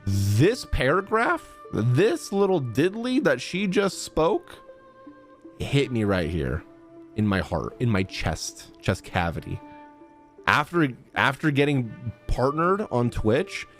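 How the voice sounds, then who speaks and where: A woman streaming a game with casual, expressive, exclamatory and dramatic commentary. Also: a bass voice, slow pace, faint music behind.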